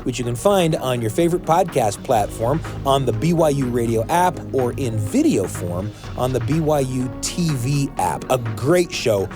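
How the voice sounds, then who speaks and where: A man's voice: mid-range voice, lively intonation, moderate pace, hardly any pauses. A man hosting a family podcast in a storyteller's way, alternating anecdote and scripted notices. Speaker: podcast host. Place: dry studio. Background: light music.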